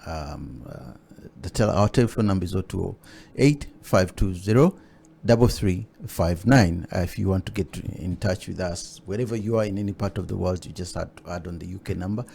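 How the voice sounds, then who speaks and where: A man hosting a studio interview, talking haltingly with many fillers and restarts. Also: low-pitched, creaky, mellow, slight background noise. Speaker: talk show host. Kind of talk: hesitant studio interview chat.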